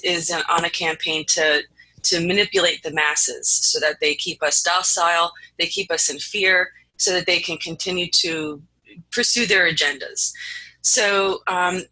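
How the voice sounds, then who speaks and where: Very bright, sharp-voiced talk host. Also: medium pitch, husky, poor audio. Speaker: host introducing stories and a guest. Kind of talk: news commentary talk, unscripted.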